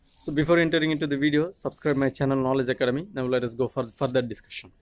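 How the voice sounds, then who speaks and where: A man in his twenties, a native Tamil speaker with an Indian accent, giving an explanatory lecture in a halting, repetitive way. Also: mid-range voice, level tone. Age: 20s. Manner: halting, repetitive, frequently checking with "okay". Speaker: teacher, video channel host.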